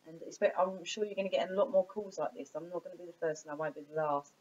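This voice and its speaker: Insistent woman, low-voiced, muffled recording. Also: fairly even intonation, a little mellow. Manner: insistent, halting, repeats phrases. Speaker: caller. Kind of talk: phone conversation, informal arguing of a point. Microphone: recording of a phone call. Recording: dull, muffled recording of phone call.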